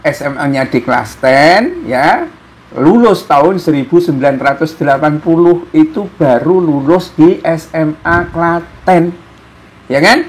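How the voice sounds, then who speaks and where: A man's voice: on the high side, lively intonation, unhurried. A man giving informal, mocking political commentary to viewers, full of rhetorical questions and tag questions. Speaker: commentator hosting an opinion show.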